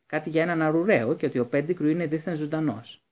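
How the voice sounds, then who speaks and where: A man's voice: on the high side, a little mellow, muffled recording, voice pushed up, lightly acted. A man voicing a cold, resentful male professor with a snide, sneering manner.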